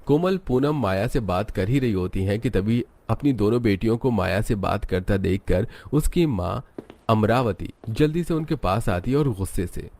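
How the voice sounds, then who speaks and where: A man's voice: on the low side, expressive, normal speed, mellow. A man narrating a scripted story as its storyteller. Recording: music bed under voice. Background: background music.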